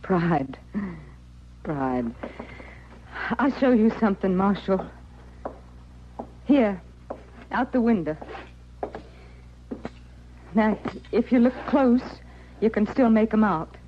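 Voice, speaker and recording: An actress with an American accent playing a worn, stubborn young widow on the prairie in plain rustic speech for a radio western. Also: female voice, mid-range voice, lively intonation, slow pace, long pauses, a little hiss or hum. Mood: grieving, resolute. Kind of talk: old-time radio western acting. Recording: muffled old radio recording.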